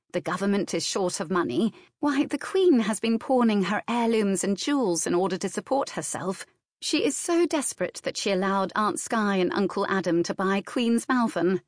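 Woman doing the sensible big sister, dry and measured, kinda high, telling someone off in a period novel. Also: no room sound, close to an everyday voice. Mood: matter-of-fact. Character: sensible, dry-witted elder sister, gently reproving.

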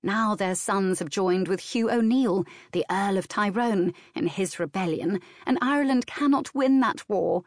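A measured elder sister with dry wit, acted by a woman narrator. She lectures with gentle reproof, pitched somewhat high in a close, dry audiobook recording. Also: no echo. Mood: gently lecturing.

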